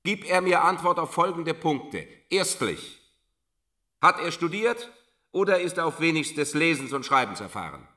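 A seventeenth-century army judge in a radio play, set a little back from the microphone. His delivery is piercing and very varied in pitch. He is formal, suspicious and threatening, working pedantically through his interrogation. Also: animated, moderate pace, sharp tone, recorded from a distance. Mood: stern, methodical. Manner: formal, pedantic, point-by-point questioning. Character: suspicious, threatening male regimental judge of the Thirty Years' War. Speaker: military magistrate interrogating a prisoner.

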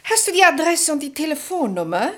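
A woman's voice: high-pitched, big pitch swings, fairly quick. An actress in a German radio play voicing a meddling, chatty and self-assured old society lady, inquisitive and bossy in a theatrical way. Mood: playfully bossy. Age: older.

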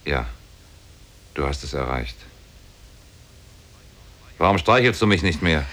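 A man's voice: rumbling low, expressive, slow pace, a bit bright, full character voice. An actor playing a mighty, solemn demon sorcerer in love with his captive, in a 1950s German radio drama. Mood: lovestruck. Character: mighty demon sorcerer, lovestruck captor of a woman. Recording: old 1950s radio play on cassette.

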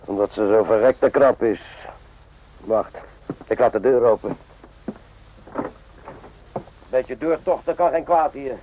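An actor playing a cynical, world-weary army sergeant in a radio drama, offhand and sarcastic, in short clipped phrases. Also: male voice, mid-range voice, a little slow, a little hiss or hum, natural voice. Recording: muffled lo-fi old radio recording.

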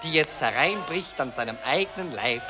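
A man's voice: on the high side, animated, moderate pace, crowd noise, close to an everyday voice, poor audio. An actor playing a pompous male newspaper writer who declaims in a grand, swelling manner. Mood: grandiloquent. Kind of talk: 1960s radio drama acting.

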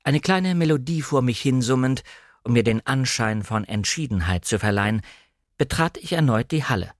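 A male audiobook narrator with a mid-pitched voice reads literary first-person prose. He is composed and careful, and the sound is dry and close. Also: lively intonation, moderate pace, dry recording.

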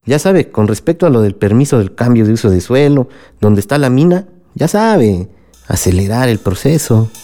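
A man's voice: mid-range voice, big pitch swings, normal speed, warm tone, lightly acted. A Mexican man playing a scheming, condescending small-town mayor in a radio soap opera, speaking with insinuation. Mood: smooth persuasion. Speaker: radio drama actor.

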